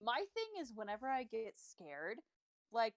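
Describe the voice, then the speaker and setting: A woman's voice: on the high side, huge pitch swings, a little slow, no room sound, studio quality. A woman voice actor chatting casually on a stream, rambling with lots of fillers. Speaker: voice actor guest. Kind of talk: casual chat.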